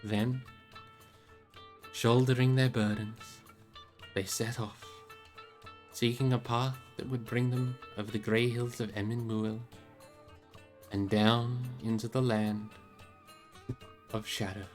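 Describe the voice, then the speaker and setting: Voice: medium pitch, flat delivery, slow pace, pauses often, soft background music. A live streamer reading a fantasy novel aloud as narrator, in a storybook narration style.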